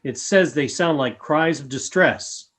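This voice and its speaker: A man playing a grave, helpful alien in a radio play, with a husky voice and formal, measured speech. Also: on the high side, a bit bright.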